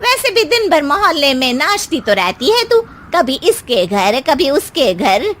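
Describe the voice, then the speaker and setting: A woman's voice: high-pitched, very animated, measured pace, a bit bright, pitched above the performer's own voice, exaggerated voice. A woman voicing a blunt, teasing neighbourhood friend who mocks and scolds in a comic family story. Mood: mocking. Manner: mocking, scolding.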